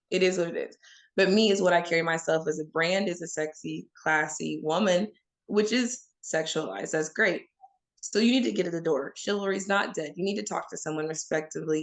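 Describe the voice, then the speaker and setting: A woman from Oklahoma giving candid, emphatic answers as an interview guest, speaking straight to the audience. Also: lowish voice. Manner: frank and emphatic, addresses listeners as y'all.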